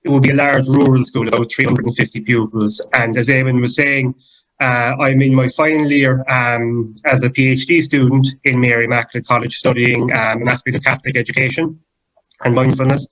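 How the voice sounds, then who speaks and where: A young man with a Cork accent, a guest teacher introducing himself in a relaxed, chatty way. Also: medium pitch, brisk, poor audio. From Cork, Ireland. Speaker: guest, primary school teacher. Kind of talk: self-introduction.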